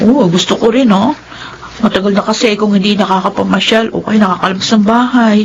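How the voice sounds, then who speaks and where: A woman with a Filipino accent playing a young single mother, soft-spoken and teasing, in a radio drama. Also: mid-range voice, fast, gravelly, muffled recording, a little hiss or hum, close to an everyday voice. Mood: hopeful, gentle. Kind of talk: Tagalog radio drama acting.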